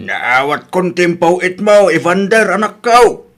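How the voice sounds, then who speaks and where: A man plays a kindly old father in a radio drama. His voice is high for a man, fatherly and approving, with soft music behind it. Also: expressive, unhurried. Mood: fond, approving. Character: kindly old father, grateful and fondly advising his son. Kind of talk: comedy radio drama acting.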